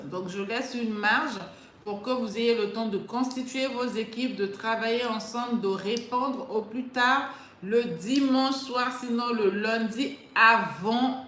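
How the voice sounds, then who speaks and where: A woman's voice: mid-range voice, roomy sound, a little hiss or hum. A woman with a slight cold hosting a live prize draw, talking straight to her viewers and often repeating herself. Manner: chatty, addresses viewers directly, repeats herself. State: slightly congested with a cold, clears throat. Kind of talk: live stream talk to viewers. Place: indoors, alone.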